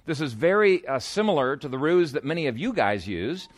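A man's voice: a little high, big pitch swings, brisk, dry recording. A man preaching an expository sermon in a conversational, explanatory way, moving between scripture reading and informal asides.

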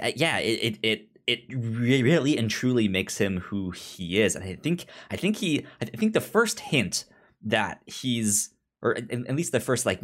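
A man's voice: lowish voice, fairly bright tone. A man co-hosting a podcast in casual discussion of comics, often repeating and restarting his words as he goes. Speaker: podcast co-host.

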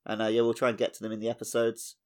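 A man's voice: on the low side, monotone, rapid-fire. A man in his thirties, chatting casually about video games as a podcast co-host, in a jokey, rambling way. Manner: jokey, rambling, acts out imagined dialogue.

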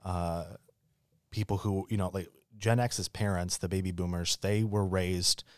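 Deep-voiced, halting young man. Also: fairly even intonation, no echo, studio quality. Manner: halting, hesitant phrasing with many pauses. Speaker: podcast guest. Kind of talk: thoughtful casual discussion.

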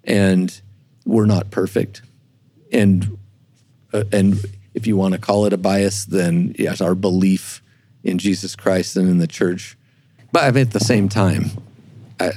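Low mellow guy voice, kinda halting and careful, explaining stuff, dry close sound. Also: dry recording. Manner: halting, repeats words, measured and careful. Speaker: church historian, interview guest. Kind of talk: explanatory discussion.

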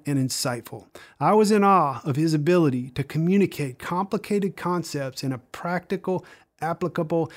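Chatty male podcast co-host. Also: fairly high pitch. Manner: warm-hearted, loose, with many fillers and restarts. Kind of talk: podcast introduction and interview.

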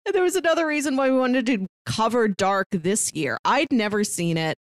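A woman chats casually as a podcast co-host, her pitch moving in a lively, varied way. The sound is close and dry, with very little room in it. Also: moderate pace, no echo. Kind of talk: casual chat about shows and films.

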